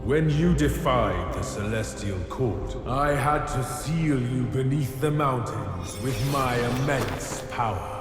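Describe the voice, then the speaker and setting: An all-powerful solemn male deity pronounces judgment. He speaks slowly and ceremonially in a vast, long reverb, with clear music behind him. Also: mid-range voice, lively intonation. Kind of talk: game cutscene acting. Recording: huge echoing hall reverb, music behind.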